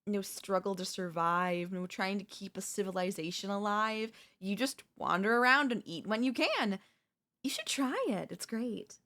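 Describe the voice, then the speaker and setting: A woman's voice: medium pitch, expressive, normal speed, a bit bright. A woman voicing a chatty female zombie who cheerfully and conversationally coaxes in a comedic audio roleplay. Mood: upbeat pitching. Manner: conversational, coaxing, wheedling. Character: chatty, cheerfully persuasive female zombie craving human flesh.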